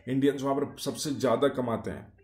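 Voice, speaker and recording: A man's voice: mid-range voice, steady tone, a little fast, darkish tone. Man explaining in an echoey room. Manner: talks in short clauses, many fillers and rhetorical questions. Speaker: YouTube explainer. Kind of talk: casual explainer monologue.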